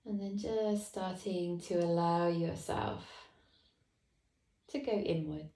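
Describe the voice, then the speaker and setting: Slow, soft-spoken woman guiding meditation. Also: lowish voice, reverberant. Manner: slow, soft, calm guidance with long pauses. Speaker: meditation teacher. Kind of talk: guided meditation.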